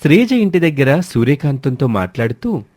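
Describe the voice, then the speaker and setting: A man's voice: medium pitch, very animated, moderate pace, darkish tone, dry recording, clean studio sound. A man narrating a dramatic family story from a script.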